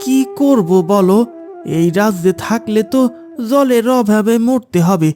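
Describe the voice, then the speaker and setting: A man's voice: high-pitched, expressive, measured pace, darkish tone, faint music behind. A man voicing a worried, plaintive villager in a cartoon folk tale. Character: worried, aggrieved village men of a drought-struck kingdom.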